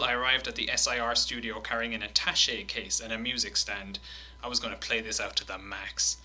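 Bright-voiced man reading quotes. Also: mid-range voice. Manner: animated, swearing, laughing while reading quotes. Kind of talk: casual chat, reading quotes aloud.

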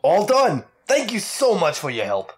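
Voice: medium pitch, huge pitch swings, normal speed, breathy edge. Someone doing a cheery felt shopkeeper. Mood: cheerful. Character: round, cheerful felt video store owner, middle-aged man. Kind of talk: comedic table read of a kids' show script.

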